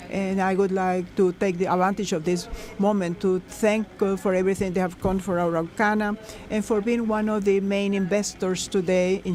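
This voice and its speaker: A man interpreting a conference panel into English live, halting, with many fillers and self-corrections. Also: sits high, a little mellow, slight background noise. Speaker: simultaneous interpreter.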